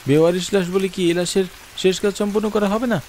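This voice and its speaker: A man's voice: sits high, steady tone, brisk, a little mellow. A man giving dramatic, scripted first-person narration of a horror story in a theatrical, earnest manner. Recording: clear rain noise behind the voice. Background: falling rain.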